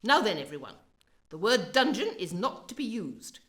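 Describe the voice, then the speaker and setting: A woman's voice: medium pitch, big pitch swings, normal speed, a bit bright. An imperious opera director played by a woman in a radio drama. She is pompous and exacting, with precise formal phrasing that rises and falls dramatically. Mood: stern, commanding. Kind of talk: radio play acting.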